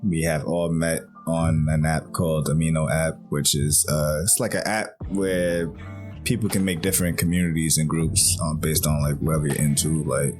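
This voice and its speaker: A man hosting a podcast, chatting in a relaxed, slangy way and chuckling often. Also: extremely low pitch, moderate pace, over music. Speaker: podcast host. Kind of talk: casual chat.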